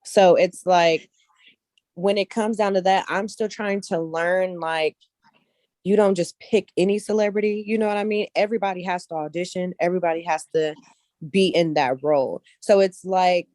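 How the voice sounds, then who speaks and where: A woman's voice: on the low side, steady tone, normal speed, darkish tone, no echo. A woman speaking casually as an interview guest, repeating points for emphasis and often checking that the listener follows. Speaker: interview guest, casting director and actress.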